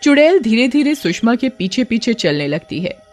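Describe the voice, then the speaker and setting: A woman's voice: fairly high pitch, sing-song, moderate pace, loud music behind the voice. A woman storyteller giving a dramatic scripted narration of a horror tale.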